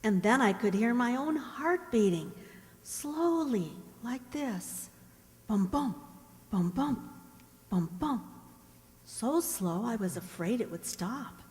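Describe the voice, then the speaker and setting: A woman's voice: on the high side, lively intonation, slow, a little mellow. A woman playing a dreamy, earnest seventeen-year-old country girl in a radio drama, with a wistful, musing manner. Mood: dreamy, wondering. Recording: hall-like long reverb.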